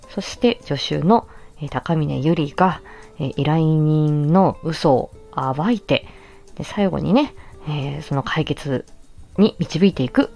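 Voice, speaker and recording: Woman, low-pitched voice, casual stream host, polite and chatty, explanatory, music in the background. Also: a little mellow. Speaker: host and amateur voice actress. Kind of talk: casual solo audio stream introducing a voice drama.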